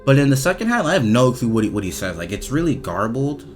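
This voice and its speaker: A man giving casual, off-the-cuff commentary as he reviews game voice lines, talking in a halting, repetitive, slangy way with some swearing. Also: mid-range voice, big pitch swings, faint music behind.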